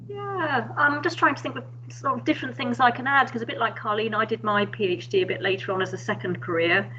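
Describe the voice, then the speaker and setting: A woman's voice: a little high, quick pace, pushed and bright, muffled recording, slight background noise. A middle-aged woman speaking as a panelist, thoughtful and candid, talking fluently about her career. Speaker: panelist, nursing academic. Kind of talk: panel discussion on video call.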